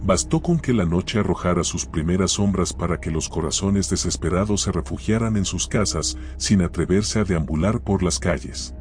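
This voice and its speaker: A man with a very low voice narrates a scripted horror tale in the role of storyteller. Music plays clearly behind his narration. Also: normal speed, few pauses, darkish tone. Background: background music.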